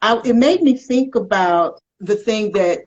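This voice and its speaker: Woman, talk show host, husky voice, emphatic and reflective, slightly dull lo-fi audio. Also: medium pitch, animated, a little slow. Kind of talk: live conversational interview about a faith-themed play.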